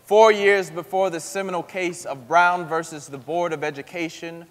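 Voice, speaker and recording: A man's voice: fairly high pitch, steady tone, moderate pace, lightly acted. A man giving a scripted dramatic reading as narrator on a theatre stage. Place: large indoor theatre stage. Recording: echoey theatre hall.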